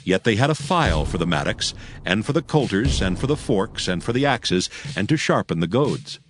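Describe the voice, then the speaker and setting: A man narrating scripture as a scripted dramatic audiobook in solemn, measured storytelling. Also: lowish voice, very animated, slight background noise.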